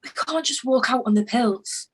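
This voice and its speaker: A woman's voice: fairly high pitch, moderate pace, breathy edge. A woman playing a fierce, fed-up partner in a screenplay table read, emphatic and confrontational. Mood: exasperated.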